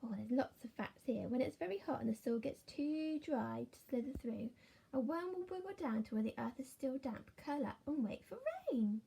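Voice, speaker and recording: Teacher lady reading a kids book out loud, gentle, whispery asides, gravelly voice. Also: sits high, normal speed, low-quality recording. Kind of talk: reading a picture book aloud to children.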